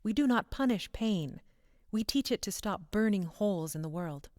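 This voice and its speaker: A woman voicing a calm, commanding professor of magic, measured, solemn and gentle but firm, in a fantasy story. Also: mid-range voice, no echo, clean studio sound, voice pushed up, close to an everyday voice.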